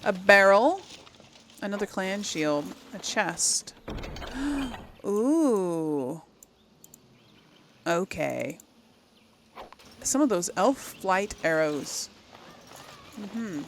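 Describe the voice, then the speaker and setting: A woman's voice: mid-range voice, animated, extremely slow, pauses often, a little hiss or hum. A woman hosting a casual let's play, chattily thinking aloud to her viewers. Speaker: gamer, series host.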